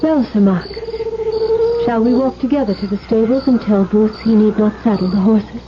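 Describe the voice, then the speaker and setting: A woman's voice: medium pitch, normal speed, close to an everyday voice. An actress playing a bold, self-possessed young lady, a romantic heroine speaking formally in a 1970s radio drama. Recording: muffled, noisy 1970s radio broadcast.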